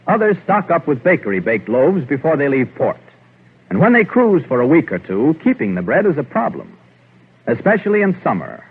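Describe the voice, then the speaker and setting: Lively husky American announcer man, 1940s radio. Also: a little high. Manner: formal, measured announcer delivery. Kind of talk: scripted 1940s radio sponsor narration. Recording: narrow, muffled 1940s radio recording.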